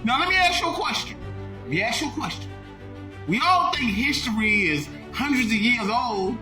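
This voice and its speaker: A man hosting a short online video, giving emphatic, repetitive commentary that keeps bursting into shouts. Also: extremely high pitch, expressive, moderate pace, over music. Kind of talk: animated social media commentary.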